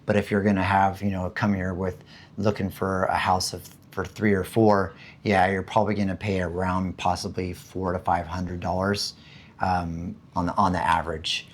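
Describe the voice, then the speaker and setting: A man from the United States with a hoarse voice after being sick, chatting casually and rambling about living costs, with many fillers and restarts. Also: deep voice, level tone, normal speed. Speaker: vlogger. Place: home in the Philippines.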